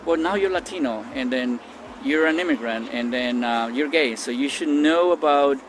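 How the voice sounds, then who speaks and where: A man's voice: medium pitch, moderate pace, noisy background. Reflective middle-aged man from Costa Rica. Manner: thoughtful, with frequent ums and restarts. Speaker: interviewee. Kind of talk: reflective personal testimony.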